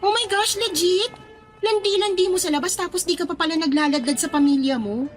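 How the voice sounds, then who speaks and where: A woman's voice: extremely high pitch, brisk. A woman playing a chatty, curious young Filipina friend in a radio drama, teasing and full of exclamations. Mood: incredulous. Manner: animated, reacting with exclamations and questions. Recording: music playing under the voice.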